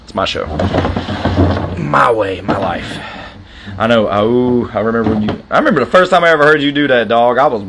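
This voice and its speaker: A man's voice: mid-range voice, expressive, brisk, over music. A middle-aged man with a Southern accent, hosting a casual livestream interview in a folksy, effusive way. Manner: folksy and effusive, thanks guests at length. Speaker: interview host.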